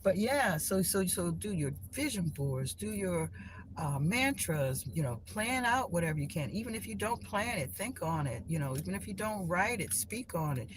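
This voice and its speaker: A woman's voice: fairly low pitch, animated, moderate pace. Rambling woman hosting a live stream. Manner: rambling, many restarts and "you know" fillers. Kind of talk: casual spiritual talk to viewers. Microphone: phone. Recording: clear background noise.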